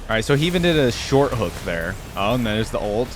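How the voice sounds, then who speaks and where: A man's voice: medium pitch, very animated, a little fast, a little hiss or hum. A man giving casual reaction commentary, thinking aloud in a tentative way and sometimes reading text out. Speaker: reaction video host.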